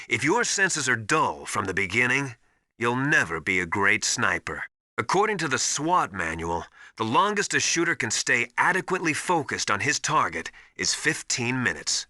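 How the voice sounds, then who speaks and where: A stern veteran military instructor from a video game, with a hard, very sharp and forceful voice. His delivery is authoritative and deliberate, swinging widely in pitch to hammer home each piece of advice. The sound is dry and close. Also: no echo, full character voice. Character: stern veteran soldier mentor, tough drill-master advising an operative.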